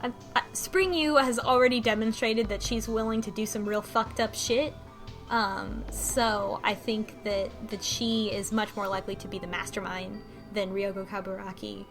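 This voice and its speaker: A woman's voice: medium pitch, steady tone, moderate pace, a bit bright, soft background music. A woman in a casual group chat, speculating and repeating words as she reasons things through aloud. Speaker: podcast panelist discussing a visual novel.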